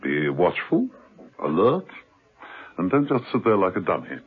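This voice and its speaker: A suave gentleman spy who is breezy and wry. His voice is deep and a little husky, and it moves with a lively lilt. It sounds like a narrow, tinny radio broadcast. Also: measured pace, exaggerated voice. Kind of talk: 1970s radio drama acting.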